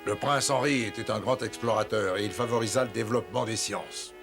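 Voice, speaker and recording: A man's voice: on the low side, a little fast, close to an everyday voice. A man playing a nostalgic, proud old seafarer who instructs and reminisces in a dubbed cartoon. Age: older. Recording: music behind the voice.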